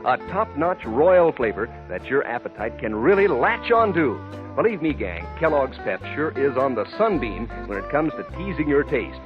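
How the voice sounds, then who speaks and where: Casting: American man, old-time radio ad pitchman, lively swooping delivery, slangy and keen, over music. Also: normal speed. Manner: folksy, enthusiastic, slangy. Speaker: radio commercial announcer. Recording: dull vintage radio recording, music behind.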